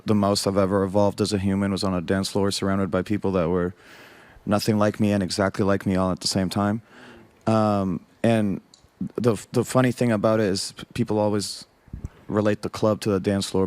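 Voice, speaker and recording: A man on a live panel telling his story earnestly, breaking into small laughs. His voice sits lowish and the sound is dry and close. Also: flat delivery, brisk, a little mellow, no echo. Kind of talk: panel discussion.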